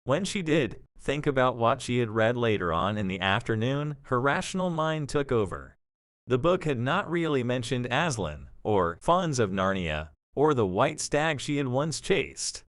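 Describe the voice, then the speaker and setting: Male narrator, scripted storytelling, animated intonation, medium pitch, audio drama. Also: unhurried, no echo, clean studio sound.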